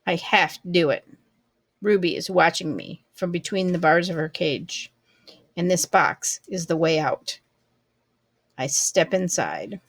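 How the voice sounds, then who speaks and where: A woman reading a children's book aloud expressively, with deliberate, measured phrasing. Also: lowish voice, a bit bright, no room sound. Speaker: storybook reader.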